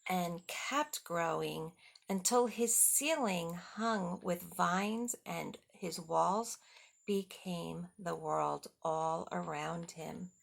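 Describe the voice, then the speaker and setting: Female children's storyteller, unhurried, with a creaky edge, simple child-directed asides. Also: fairly low pitch. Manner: simple, child-directed talk with rhetorical questions. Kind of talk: read-aloud picture book with asides to young children.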